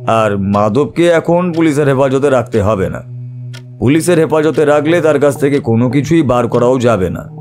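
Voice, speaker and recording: Male actor as shrewd detective, composed, measured, authoritative, medium pitch, audio story, music bed. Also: a little mellow, close to an everyday voice. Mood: firm, instructing. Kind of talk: audio drama dialogue.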